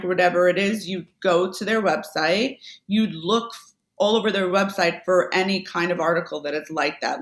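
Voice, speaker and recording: A woman guest on a business podcast, talking casually about selling online, with quick asides and self-corrections. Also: fairly low pitch, a bit bright, roomy sound. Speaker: podcast guest, Amazon seller. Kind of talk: casual conversational interview.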